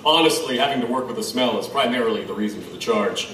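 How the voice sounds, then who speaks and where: A man's voice: mid-range voice, quick pace, a bit bright, natural voice. A man playing a wry herbalist and gunsmith in an audio drama, droll and matter-of-fact. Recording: echoey, distant, faint ambient noise.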